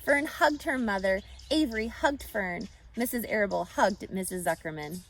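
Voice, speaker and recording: A woman reading a children's story aloud expressively, pausing to explain and ask questions like a teacher. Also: medium pitch, a little hiss or hum, low-quality recording. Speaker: storybook reader.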